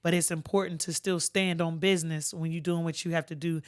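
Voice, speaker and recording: A middle-aged woman talking as an interview guest in a conversational, emphatic, plain-spoken way, with a strong, smooth voice. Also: fairly low pitch, fairly even intonation, brisk. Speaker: podcast guest, army first sergeant.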